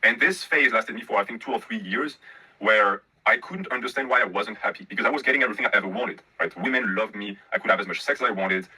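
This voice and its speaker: Bright, husky-voiced man telling stories. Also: fairly low pitch, fast talker, rough recording. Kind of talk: casual storytelling chat.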